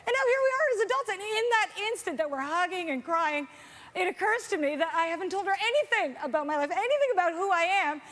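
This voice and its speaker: High-voiced chatty woman doing stand-up. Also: expressive, fast, a bit bright. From Canada. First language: Hungarian. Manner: chatty, self-deprecating, lots of fillers and restarts. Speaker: stand-up comedian. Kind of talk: stand-up comedy set. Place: comedy festival stage.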